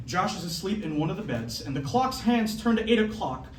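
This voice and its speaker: High-voiced male narrator in echoey room. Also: very animated, a little fast, a little hiss or hum. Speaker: announcer and stage directions reader. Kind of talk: scripted narration at a staged reading. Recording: echoey room from far off, music.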